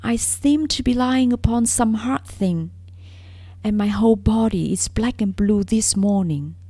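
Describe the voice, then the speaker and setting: A delicate young fairy-tale princess, voiced by a woman storyteller, complains in a genteel, plaintive way. Her voice is lifted in pitch and soft-edged, and she speaks slowly and carefully. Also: no echo. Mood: plaintive complaint.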